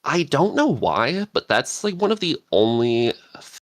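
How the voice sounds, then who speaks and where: A man's voice: medium pitch, very animated, a little slow, fairly bright tone, dry recording. A man chatting casually as a panelist, rambling and searching for words. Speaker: podcast panelist and scriptwriter. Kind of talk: casual chat.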